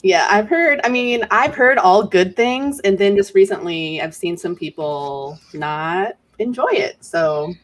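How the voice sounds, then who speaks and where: A woman's voice: medium pitch, animated, measured pace, hardly any pauses. A woman co-hosting a livestream, chatting casually with the others. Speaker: co-host of a reading livestream.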